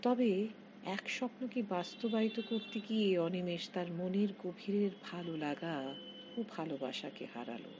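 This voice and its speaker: A woman's voice: on the low side, a little mellow. Woman reading a story, noisy lo-fi recording. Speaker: author reading own short story. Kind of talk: scripted literary story narration. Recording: dull lo-fi audio with heavy noise.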